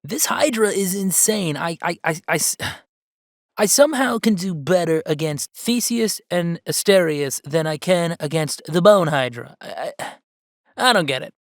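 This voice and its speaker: A man playing an upbeat, earnest video game streamer who chats casually and haltingly in a livestream roleplay. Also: on the high side, animated, no room sound, studio quality. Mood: flustered, exasperated. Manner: halting, rambling, sighing, earnest.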